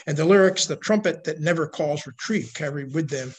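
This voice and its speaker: An older man giving a prepared slide lecture on history over a video call, reading in a measured way. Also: fairly high pitch, slightly rough. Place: indoor room at home, video call. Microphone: video call microphone.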